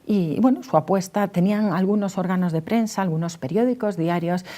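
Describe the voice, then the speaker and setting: A woman's voice: fairly low pitch, fairly quick, dark tone. A woman from Spain with a Spanish accent speaks as a history expert in an interview, explaining discursively and thinking aloud.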